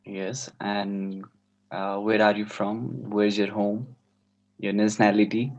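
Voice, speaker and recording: A man's voice: on the low side, flat delivery, slow pace. A man acting as mock examiner, asking interview questions hesitantly, often restarting them. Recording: dull lo-fi call audio.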